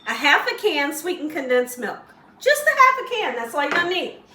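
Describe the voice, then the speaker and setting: A woman hosting a casual home cooking tutorial, slightly hoarse as she loses her voice, chatty and encouraging toward viewers. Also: sits high, big pitch swings, normal speed, sharp tone, echoey room, distant mic. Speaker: cooking show host. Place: home kitchen.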